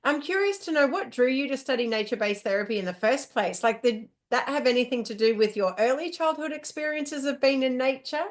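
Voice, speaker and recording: Bright, friendly woman hosting a podcast. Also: high voice, a little fast, hardly any pauses. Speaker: podcast host. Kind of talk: podcast interview.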